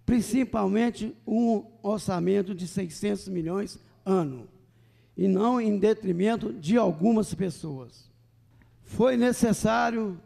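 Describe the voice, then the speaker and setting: High, creaky older man speechifying. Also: a little mellow. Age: 60s. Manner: emphatic, defiant. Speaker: city council president. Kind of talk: political speech read from paper. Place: council chamber, indoors. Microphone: gooseneck table microphone. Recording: reverberant council chamber.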